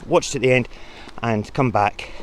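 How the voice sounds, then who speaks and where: A man's voice: mid-range voice, unhurried, a little hiss or hum. A male podcast host introducing a report in earnest, pleading commentary.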